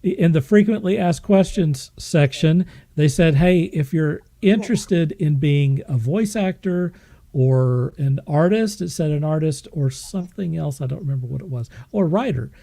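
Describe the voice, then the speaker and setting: A woman hosting a podcast, asking questions in a casual, halting, measured way. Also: sits low, expressive, gravelly, dark tone, no echo. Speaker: podcast host. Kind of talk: casual interview chat.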